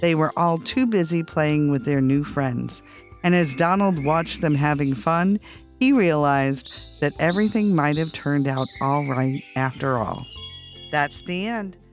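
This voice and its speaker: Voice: mid-range voice, a little slow, soft background music. A storyteller reading a scripted children's bedtime story.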